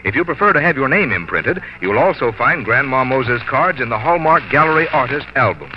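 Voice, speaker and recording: An American man announcing and reading commercials in 1940s radio style, formal and measured, with deliberate emphasis. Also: medium pitch, lively intonation, slight background noise. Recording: dull 1940s radio recording, music behind.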